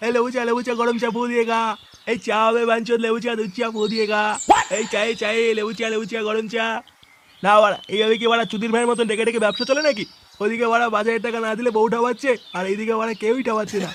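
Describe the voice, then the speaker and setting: A man's voice: extremely high pitch, flat delivery, rapid-fire, a bit bright, a little hiss or hum. A man voicing a poor, luckless street tea seller in an adult comedy cartoon, whiny and repetitive. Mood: grumbling.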